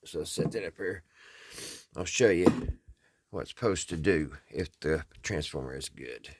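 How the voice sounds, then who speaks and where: A man's voice: a bass voice, expressive, measured pace, husky, no echo. An American man talking casually and folksily, thinking aloud as he hosts a hands-on repair vlog. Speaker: host of a radio repair video.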